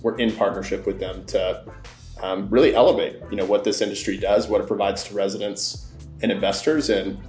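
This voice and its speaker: A man's voice: on the low side, fairly even intonation, a little fast, darkish tone, roomy sound, faint music behind. A man answering as a company guest in an interview, friendly and fluent with frequent fillers.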